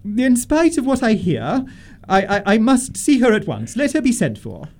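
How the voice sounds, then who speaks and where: Snobbish dowager, commanding and haughty, very animated intonation, radio comedy. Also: female voice, on the high side, normal speed. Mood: stern, accusing. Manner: haughty, commanding, grandly emphatic. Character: imperious, snobbish older aristocratic lady. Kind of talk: stage comedy radio acting.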